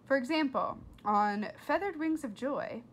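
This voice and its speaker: A woman reading a storybook aloud to children, expressive, with simple explanatory asides. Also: high voice, measured pace, a little hiss or hum. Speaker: read-aloud host for children.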